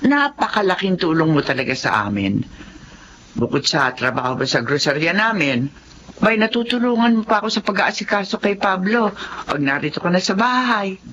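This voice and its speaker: An actress playing a generous, motherly married employer in a Filipino melodrama, speaking in a gentle, reassuring way. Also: lowish voice, very animated, normal speed. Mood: grateful, affectionate. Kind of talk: Filipino radio-style melodrama acting. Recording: dull lo-fi audio with faint noise.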